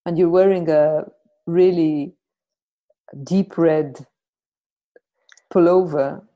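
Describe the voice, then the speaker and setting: A woman hosting a podcast, introducing and questioning in a conversational, reflective way with deliberate pauses. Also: lowish voice, fairly even intonation, phone-quality sound. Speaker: podcast host.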